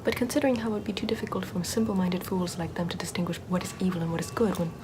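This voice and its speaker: A woman playing a sardonic witch healer in an ASMR roleplay, soft-spoken and often whispering in a conversational way. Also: on the low side, rapid-fire, lightly acted. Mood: wry, confiding. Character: sardonic Puritan-era witch, chatty, scornful yet soothing healer. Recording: clear background ambient noise.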